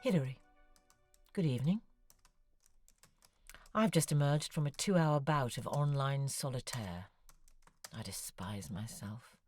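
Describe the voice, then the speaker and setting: An actress playing a wry, self-deprecating middle-aged novelist in an audio drama, articulate and musing, with a husky, breathy voice. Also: female voice, very deep voice, lively intonation, unhurried, long pauses, darkish tone, faint music behind, exaggerated voice. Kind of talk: audio drama acting, intimate spoken correspondence.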